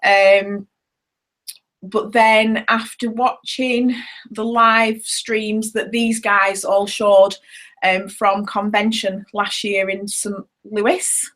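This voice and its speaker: A woman's voice: medium pitch, level tone, drawn-out words, a bit bright. A woman in her thirties sharing her personal story in a talk, earnest and hesitant, with many ums. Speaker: network marketing seller sharing her story.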